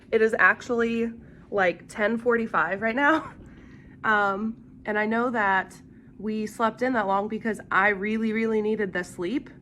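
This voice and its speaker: A young woman vlogging in a relaxed, rambling way, sounding congested and sick. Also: on the high side, a little hiss or hum. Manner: rambling, chatty with fillers. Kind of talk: casual vlog chat.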